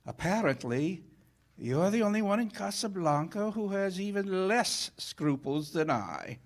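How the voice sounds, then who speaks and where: An actor playing a sly, corrupt police official, suave and deliberating, in 1940s radio theater style. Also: male voice, sits high, lively intonation, unhurried. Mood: wry farewell.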